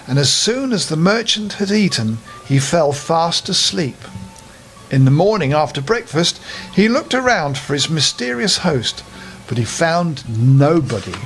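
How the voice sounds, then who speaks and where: An older man tells a children's story in a fairly high voice. His intonation is very lively and expressive. He speaks as a storyteller to young listeners. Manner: animated, with friendly asides to young listeners.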